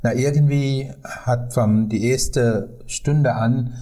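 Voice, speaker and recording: A middle-aged or older man with a Sri Lankan accent speaks as a non-native, at a slow pace with frequent hesitations. His voice is soft-edged and mellow, in a conversational storytelling style. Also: mid-range voice, fairly even intonation. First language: not German. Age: 50s or older. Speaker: tour guide, podcast guest.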